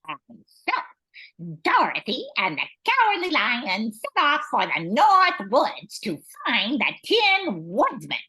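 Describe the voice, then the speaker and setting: A woman playing a bossy munchkin narrator in an amateur radio play, theatrical, with a husky edge. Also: on the high side, wildly swooping pitch, slow pace, pushed and bright, exaggerated voice, poor audio. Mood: storytelling. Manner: theatrical, scolding.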